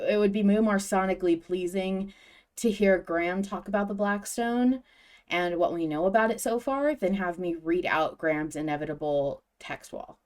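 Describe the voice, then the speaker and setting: A woman hosting a podcast, chatting casually as a fan in an informal discussion. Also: on the low side. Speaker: podcast host.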